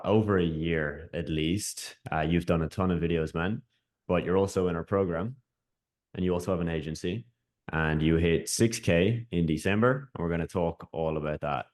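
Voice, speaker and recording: A man hosting a casual interview over a video call, upbeat and friendly, often calling his guest "man". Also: sits low. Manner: upbeat, quick, says "man" a lot. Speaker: host and coach.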